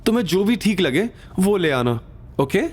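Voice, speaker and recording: Man voicing a bubbly, playful young woman, pitched up. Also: very animated, normal speed, husky, heavily acted. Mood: light, playful. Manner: light, chirpy, casual.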